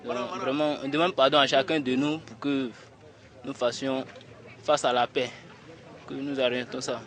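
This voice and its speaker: A man's voice: mid-range voice, little pitch movement, moderate pace, a bit bright. A young man with an Ivorian accent, a student, making an appeal to a news camera outdoors. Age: young adult. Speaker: high school student interviewed. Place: outdoors among a crowd. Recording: outdoor, clear crowd noise.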